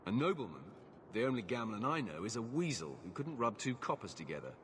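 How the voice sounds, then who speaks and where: An actor playing a weary, blunt guard captain in a video game cutscene, speaking curtly and firmly. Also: male voice, medium pitch, sing-song, brisk, fairly bright tone, slight background noise. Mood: stern. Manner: curt, firm.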